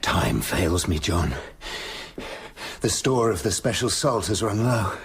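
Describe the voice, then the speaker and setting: An actor with a British accent playing a tormented scientist with a sinister other self, speaking formally and intensely in a radio play. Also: male voice, on the low side, lively intonation, takes it slowly, slight background noise. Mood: desperate, resigned. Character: tormented male scientist slipping into his menacing, sinister alter ego. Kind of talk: dramatic radio play acting, confessional monologue.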